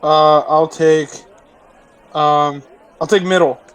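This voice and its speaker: Male tabletop player, high-pitched voice, casual conversational delivery, faint crowd chatter behind. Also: steady tone, slow pace, smooth. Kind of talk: casual chat.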